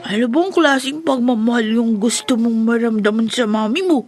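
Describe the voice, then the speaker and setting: A woman playing a gentle, wistful child in a Filipino radio drama, plaintive and earnest. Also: fairly high pitch, moderate pace, natural voice. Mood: gentle, consoling.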